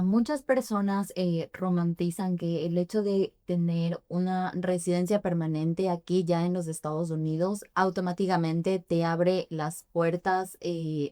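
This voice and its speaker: A woman's voice: fairly low pitch, mellow, no echo, clean studio sound. A young adult woman from Ecuador, a guest in a podcast interview, answering thoughtfully with frequent hesitations. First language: Spanish. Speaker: immigration forms preparer, guest.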